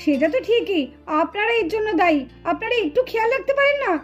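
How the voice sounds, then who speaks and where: Woman as a bossy cartoon lady doctor, very high pitch, scolding, tutting, exasperated, music underneath. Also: animated, fast. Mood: reproachful. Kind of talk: melodramatic puppet cartoon acting. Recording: music behind the voice.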